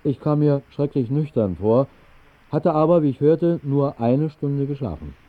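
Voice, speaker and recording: Mock-solemn night-owl, thin recording. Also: male voice, fairly high pitch, lively intonation, measured pace. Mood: wry, dramatic. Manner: mock-solemn, ornate phrasing. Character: whimsical, tipsy Berlin night-owl narrator recounting his absurd dream. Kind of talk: literary radio-play narration. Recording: muffled, thin 1960s radio recording.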